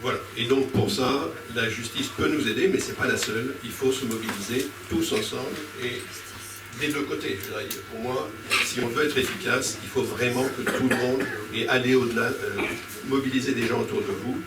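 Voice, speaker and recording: Echoey, distant man addressing a public meeting. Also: mid-range voice. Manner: halting, argumentative, often rephrasing mid-sentence. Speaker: speaker on a citizens' panel at a public local-politics debate. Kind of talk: impromptu political speech to a public meeting. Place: indoor public meeting room. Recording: echoey room, distant mic, clear background noise.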